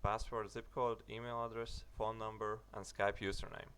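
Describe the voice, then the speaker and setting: A young British man narrating a website walkthrough, halting with many fillers and restarts. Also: on the low side, little pitch movement, background noise. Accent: British English. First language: English. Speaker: tutorial narrator. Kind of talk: screen-recorded tutorial walkthrough.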